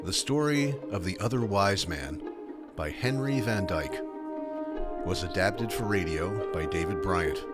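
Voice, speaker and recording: Deep-voiced male credits announcer. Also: lively intonation, unhurried. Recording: loud music behind the voice.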